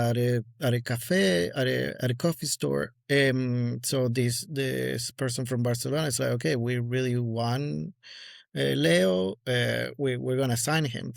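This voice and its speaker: A man's voice: medium pitch, vocal fry, no room sound, studio quality. A man in his forties with an Argentine accent, a guest speaking in a casual interview with many fillers and restarts. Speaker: guest collector.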